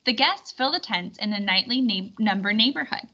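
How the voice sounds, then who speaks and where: A woman reading a children's storybook aloud in an expressive, clearly instructional way. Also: medium pitch, moderate pace, highs cut off. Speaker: storybook reader.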